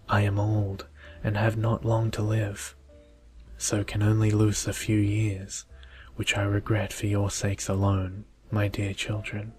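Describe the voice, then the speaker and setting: Elderly merchant father, male narrator in role: low, grave, loving, resigned, formal bedtime-story delivery. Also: close to an everyday voice.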